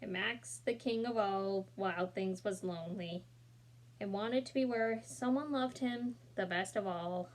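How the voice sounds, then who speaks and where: Woman reading a kids' book out loud, gentle, chats with the little ones between pages, does the voices. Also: mid-range voice, unhurried, a little hiss or hum, rough recording. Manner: gentle, talks to young listeners; expressive, voices the characters. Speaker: storybook reader. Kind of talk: children's read-aloud with asides.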